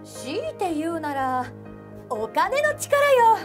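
A woman's voice: very high voice, very animated, slow, full character voice. Cool scheming anime schoolgirl president, music behind. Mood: cool, smug. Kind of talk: anime-style radio drama.

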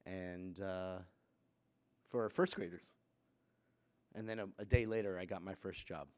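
A man in his fifties from Puerto Rico speaks into a gooseneck mic at a chapel lectern. His voice sits fairly low, and he talks in a loose, conversational way with plenty of fillers. He builds to emphatic bursts. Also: measured pace, darkish tone. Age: 50s. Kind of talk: personal talk to students. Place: wood-panelled school chapel, students seated behind.

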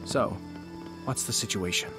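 An actor playing a suave, unruffled young noble swordsman in an anime game, composed, polite and quietly menacing. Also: lowish voice, unhurried. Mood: cool, teasing. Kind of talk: anime game trailer acting. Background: loud trailer music.

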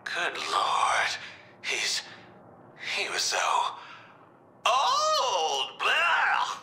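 Game villain role: mocking young psychotic clown, high sharp voice that swings wildly. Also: male voice, huge pitch swings, very slow, vocal fry, slight background noise, full character voice. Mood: manic glee. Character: flamboyant, gleefully sinister younger male clown villain.

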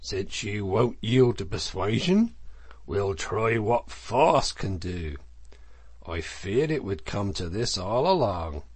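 A man's voice: fairly low pitch, big pitch swings, slow pace, darkish tone, breathy edge, dry recording, full character voice. A man voicing a stern, authoritative badger from a storybook, speaking in a solemn, firm, oratorical manner. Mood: firm, resolute. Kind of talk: storybook character voice.

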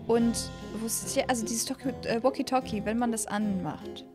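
Hohe, aufgeregte junge Frauenstimme. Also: female voice, fairly high pitch, moderate pace, music in the background. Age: young adult. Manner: excitable, repeats phrases, stumbles over words. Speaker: gaming video host. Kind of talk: casual gameplay commentary. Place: small room at a gaming setup, indoors. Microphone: close studio mic on boom arm.

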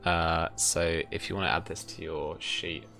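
A man's voice: sits low, level tone, unhurried, creaky, pushed and bright. A young man speaking as game master, running a tabletop roleplaying game over music. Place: indoor room at home, video call. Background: background music.

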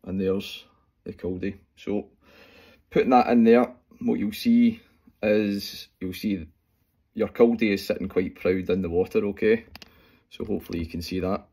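A Scottish man in his forties explaining fly tying step by step at home, talking in a relaxed way with plenty of fillers as he works. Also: lowish voice, normal speed, slightly rough. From Scotland. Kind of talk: casual talk-through tutorial. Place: small room at home, indoors. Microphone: phone camera close up.

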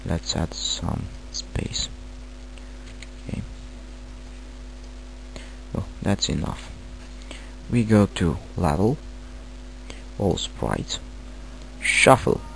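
A man with a Romanian accent, not a native English speaker, halting through a screen-recorded software tutorial with many fillers. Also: deep voice, sing-song, creaky, slight background noise, rough recording. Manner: hesitant, halting, filler-heavy. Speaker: tutorial maker.

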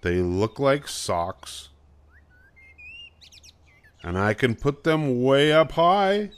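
An older man voicing an eager, showy spotted animal in a picture book, with slow, emphatic, singsong delivery. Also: medium pitch, very animated. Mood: proud. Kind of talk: picture book read-aloud.

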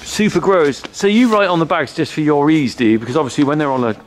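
A man's voice: a little high, expressive, fast talker, a crowd in the background. A middle-aged man, chatting casually and asking curious questions while touring a store on a handheld camera. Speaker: farmer and vlogger touring a store. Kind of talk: casual chat. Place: large indoor warehouse with shelving. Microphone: camera mic, handheld close-up. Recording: warehouse with clear background noise.

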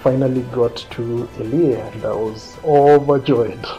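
Kenyan man, thirties, interview storyteller, relaxed dark tone over light background music. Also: mid-range voice, unhurried, highs cut off. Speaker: interviewee recounting his own trip. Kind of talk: conversational storytelling to camera. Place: small quiet indoor room, seated. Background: faint music.

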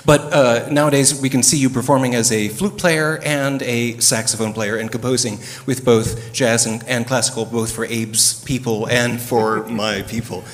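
A man's voice: medium pitch, steady tone, normal speed, hardly any pauses. A middle-aged man moderating a panel on a theater stage, talking into a handheld mic with plenty of fillers and restarts. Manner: chatty, many fillers and restarts. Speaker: moderator, opera dramaturg. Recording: long reverb from the hall.

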